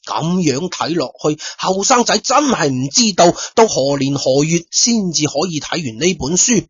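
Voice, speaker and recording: A man's voice: fairly high pitch, very animated, moderate pace, slightly rough, dry recording, lo-fi sound. A man with a Cantonese accent narrating a story in colloquial radio-drama style, speaking directly to his listeners. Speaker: storyteller, host.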